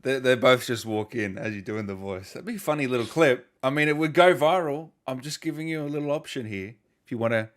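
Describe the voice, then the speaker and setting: A man's voice: medium pitch, brisk, hardly any pauses. A man with a mid-range voice hosts a casual interview on a video call. He asks playful, teasing questions and keeps the chat light. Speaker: interview host.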